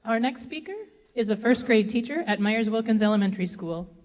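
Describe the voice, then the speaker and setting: A woman hosting an event, giving a measured, friendly introduction of the next speaker from a stage. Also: on the high side, a little mellow. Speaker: event host. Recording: echoey hall.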